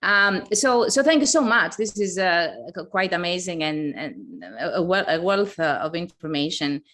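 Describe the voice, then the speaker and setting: A middle-aged woman from Greece, commenting from the audience in a hesitant, pause-filled way. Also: fairly low pitch, lively intonation, a bit bright. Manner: hesitant, pausing often. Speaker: audience member asking a question. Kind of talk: spontaneous comment in a discussion.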